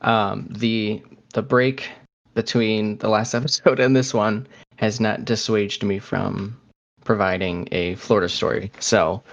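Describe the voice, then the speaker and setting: A man's voice: lowish voice, steady tone, unhurried, vocal fry, highs cut off. A man co-hosting a podcast in casual conversation, talking in a hesitant, conversational way. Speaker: podcast co-host.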